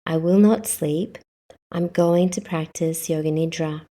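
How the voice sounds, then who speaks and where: A woman guiding a yoga nidra meditation as the instructor, speaking calmly in short, measured instructions. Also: low-pitched. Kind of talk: guided meditation.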